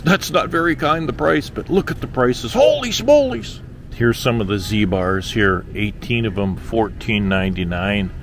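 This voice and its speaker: A man's voice: medium pitch, a bit bright. A middle-aged man giving casual, exclamatory, incredulous commentary as he vlogs, often repeating himself, on a handheld camera in a large store. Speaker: vlogger. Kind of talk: casual running commentary on grocery prices. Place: large supermarket, indoors. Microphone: handheld camera at arm's length. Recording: noisy store ambience. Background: store background noise.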